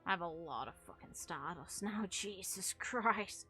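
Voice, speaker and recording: A woman's voice: lowish voice, lively intonation, measured pace, bright, forceful tone, faint music behind. A woman doing casual, rambling gaming commentary in a frank, casually sweary way, like a streamer talking to viewers. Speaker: game streamer.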